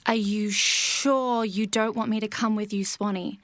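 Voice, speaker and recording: A woman playing a caring, supportive bird partner in an audio drama, with a gentle, reassuring and natural delivery. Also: medium pitch, steady tone, unhurried, no echo, close to an everyday voice. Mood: tender.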